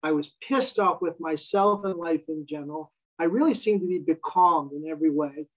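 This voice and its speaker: A man's voice: on the high side, moderate pace, low-quality recording. An American man in his fifties, a guest telling his life story in a wry, self-deprecating way. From United States. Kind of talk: casual interview conversation.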